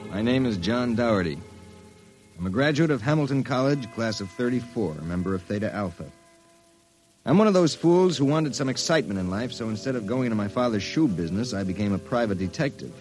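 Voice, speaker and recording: A man's voice: medium pitch, animated, fairly quick, a little mellow, faint music behind, lightly acted, low-quality recording. Wisecracking hard-boiled private eye acted by a man. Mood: grim, wry. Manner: wry, slangy tough-guy delivery. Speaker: narrator and lead actor. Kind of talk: old-time radio detective drama acting. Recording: vintage radio drama recording.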